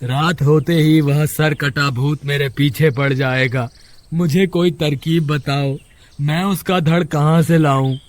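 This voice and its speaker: A man acts out a frightened villager from a horror cartoon. He has a high male voice and a slow, pleading delivery, respectful but a little crafty. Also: steady tone, a little hiss or hum. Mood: worried, pleading. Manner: pleading, exclamatory. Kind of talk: Hindi horror cartoon character voice acting.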